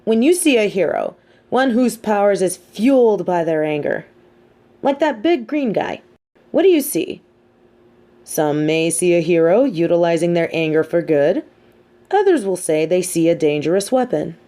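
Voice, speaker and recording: A woman playing a sardonic, teasing supervillain with a hidden soft side, talking straight to the listener in an audio roleplay with theatrical, mocking emphasis. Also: on the low side, very animated, normal speed. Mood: musing, lecturing. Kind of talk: scripted audio roleplay monologue spoken to the listener.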